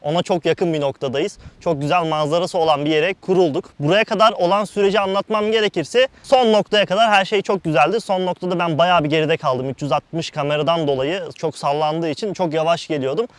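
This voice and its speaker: A man's voice: fairly high pitch, fairly quick, few pauses, no room sound. A man talking casually to viewers and friends as a motorcycle vlogger, hosting the video. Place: outdoors, on a motorcycle and at a windy roadside. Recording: noisy outdoors, wind and engine noise.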